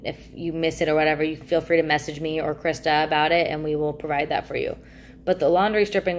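A woman's voice: deep voice, slight background noise. A young woman chatting enthusiastically to camera about a product, talking with her hands in a small room. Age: young adult. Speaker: product reviewer. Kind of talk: casual talking-head product chat. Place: small indoor room by a plain wall.

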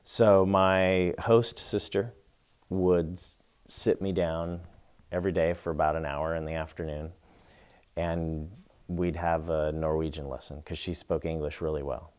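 A middle-aged man seated in a small studio, answering interview questions in a thoughtful, measured way with pauses and restarts. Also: low voice. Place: indoor studio before a plain backdrop.

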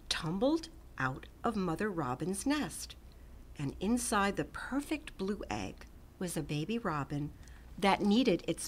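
A middle-aged woman reading a children's picture book aloud with gentle, expressive storytelling and soft whispered asides. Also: medium pitch. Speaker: storytime reader. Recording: music playing under the voice.

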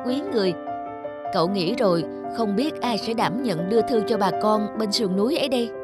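A woman voicing a kindly, thoughtful young village postman in a cartoon, speaking gently. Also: mid-range voice, a little fast, loud music behind the voice, lightly acted. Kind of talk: cartoon dubbing.

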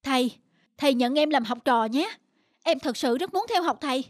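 A woman's voice: high-pitched, animated, normal speed, dry recording, studio quality. A woman voicing an earnest, stubborn young male student in a cartoon, with a pleading manner. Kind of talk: animated cartoon dubbing.

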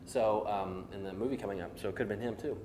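An American man in his forties chatting as co-host of a panel show in a hesitant, halting way. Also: fairly low pitch, dark tone. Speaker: co-host of a geek culture show. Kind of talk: casual panel chat. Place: indoor room set, seated around a table. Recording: roomy sound, faint noise.

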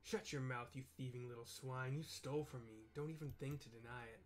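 A man's voice: mid-range voice, fairly even intonation, fairly quick, soft background music, lightly acted. Man acting a scornful, angry merchant. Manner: scornful, accusing. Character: haughty, angry male merchant bullying refugees in game.

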